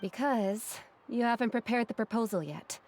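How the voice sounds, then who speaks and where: An actress playing a gentle, fond female assistant to a scholar in a video game, speaking in a gently teasing way. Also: fairly high pitch, moderate pace, a little hiss or hum, lightly acted. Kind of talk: game voice acting.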